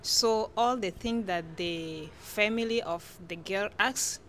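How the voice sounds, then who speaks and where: A woman's voice: medium pitch, fairly bright tone. Francophone Central African woman, faint background noise. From Chad-born, grew up in northern Cameroon. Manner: halting, repeats and rephrases. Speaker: guest scholar being interviewed. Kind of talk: explanatory interview answers in non-native English.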